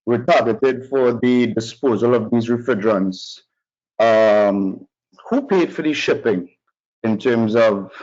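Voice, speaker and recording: A man's voice: lowish voice, darkish tone. A man with a Trinidadian accent asking questions at a webinar in a courteous, formal way. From Trinidad and Tobago. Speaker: audience member asking questions. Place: online call. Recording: dull lo-fi online call audio.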